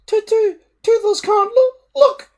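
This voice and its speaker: Shrill, squeaky, stuttering little dragon. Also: male voice, fairly even intonation, slow. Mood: dismayed moaning. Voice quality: squeaky falsetto. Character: small bossy stuttering dragon, panicky and shrill.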